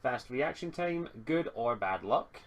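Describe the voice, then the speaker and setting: Man reading out tabletop game rules, halting. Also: mid-range voice, expressive, a little hiss or hum. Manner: halting, reading items aloud from a rulebook. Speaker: game master.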